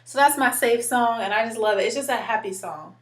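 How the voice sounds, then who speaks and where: A woman in her twenties with a deeper voice, chatting casually while doing her makeup and using lots of filler words. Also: fairly quick. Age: 20s. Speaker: beauty vlogger. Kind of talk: casual makeup tutorial chat.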